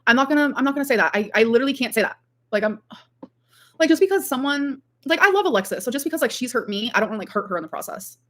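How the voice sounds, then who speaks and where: A woman's voice: sits high, expressive, very fast, a bit bright. A woman chatting casually on a livestream in a rambling way, peppering her talk with "like". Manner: rambling, frequent "like". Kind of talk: casual livestream chat, reading out viewer comments.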